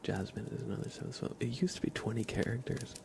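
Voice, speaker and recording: A soft-spoken man hosting an ASMR livestream chat, hesitant and often slipping into whispers. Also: mid-range voice, quick pace, creaky, noisy background. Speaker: livestream host.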